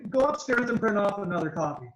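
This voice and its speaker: A man's voice: high-pitched, lively intonation, fairly bright tone, dull audio. A man reading a blunt, lecturing dad. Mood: matter-of-fact. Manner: brisk, matter-of-fact, lecturing. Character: blunt, logical father of a teenager. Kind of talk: screenplay table reading.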